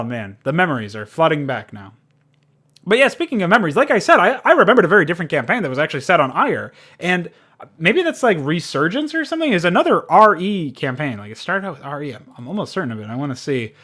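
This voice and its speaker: A man with a slightly high voice reviews a game off the cuff. He talks in a loose, rambling, informal way and swears freely as he goes. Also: bright, forceful tone. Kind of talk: casual unscripted spoken review.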